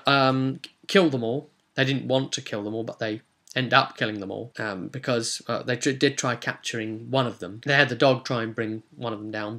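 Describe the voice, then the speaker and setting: Gravelly-edged man, rambling and informal. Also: medium pitch, a bit bright. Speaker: game master presenting a video recap. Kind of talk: casual recap of a tabletop role-playing session.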